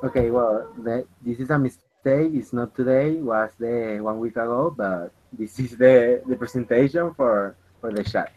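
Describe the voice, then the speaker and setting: A man in his twenties with a Venezuelan Spanish accent, leading an online English practice chat in halting, restarting English. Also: mid-range voice, a little mellow. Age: 20s. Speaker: chat moderator. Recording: dull lo-fi voice call with faint noise.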